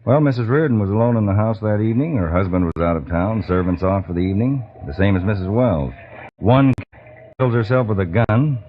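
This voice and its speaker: An actor playing a shrewd, authoritative investigator in an old radio drama, measured and confident. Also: male voice, lowish voice, a little hiss or hum, lightly acted. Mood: grave, thoughtful. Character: shrewd, authoritative male government investigator chief. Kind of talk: 1940s radio drama acting. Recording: thin, phone-like old radio recording.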